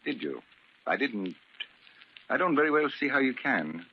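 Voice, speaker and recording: A man's voice: medium pitch, very animated, normal speed, slightly rough, lo-fi sound. An actor playing a cruel, domineering older father-in-law with formal, condescending politeness in a vintage radio drama. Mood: stern, commanding. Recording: crackly band-limited old radio recording.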